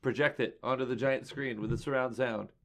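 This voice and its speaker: A man's voice: mid-range voice, steady tone, brisk, fairly bright tone. A man co-hosting a casual comics podcast, joking and teasing his partner. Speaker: podcast co-host. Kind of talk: casual chat.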